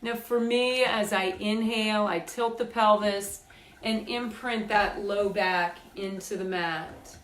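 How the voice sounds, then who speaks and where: A woman leading a guided meditation with slow, deliberate, soothing phrasing and pauses. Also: mid-range voice, steady tone. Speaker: group exercise coordinator leading guided meditation. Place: indoors on a rug by firelight. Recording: roomy, distant mic, music behind.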